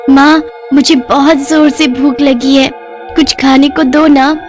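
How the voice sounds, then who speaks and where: A woman's voice: sits high, little pitch movement, slow pace, soft background music, voice pushed up. A woman voicing a hungry, upset five-year-old village girl in a cartoon story, with a childlike, pleading whine.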